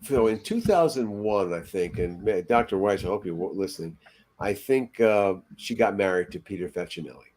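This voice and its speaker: A man's voice: fairly low pitch, lively intonation, moderate pace, darkish tone. An older man speaking as a panelist in a casual podcast discussion. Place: room at home, indoors.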